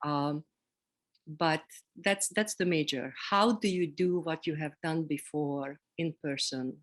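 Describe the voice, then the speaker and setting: A middle-aged woman with a Hungarian accent, speaking reflectively as an interview guest, pausing between short phrases. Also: deep voice. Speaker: interview guest, seminary program director.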